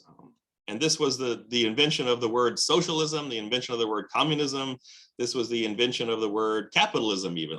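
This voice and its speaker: A man lecturing on world history, with lively emphasis and frequent fillers and restarts. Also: mid-range voice, rough recording. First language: English. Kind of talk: recorded lecture.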